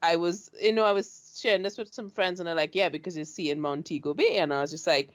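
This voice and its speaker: A woman from Trinidad with a Trinidadian accent hosting an interview, chatty and animated as she tells her own stories. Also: lowish voice, fast. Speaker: show host. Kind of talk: casual interview chat.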